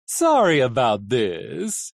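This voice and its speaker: An audiobook reader voicing a cheerful, smooth-talking male coachman, a menacing captor with theatrical, drawn-out, mock-polite speech. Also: mid-range voice, wildly swooping pitch, no echo, clean studio sound, exaggerated voice. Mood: mock-apologetic. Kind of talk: audiobook character acting.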